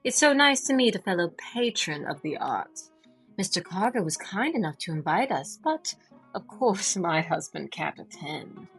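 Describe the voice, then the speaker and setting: Fake society lady in a fantasy audio drama, lowish husky voice, super polite and guarded. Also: sing-song, moderate pace, faint music behind, heavily acted. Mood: gracious, guarded. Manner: polite, carefully gracious. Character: poised, genteel impostor gala guest with hidden intent.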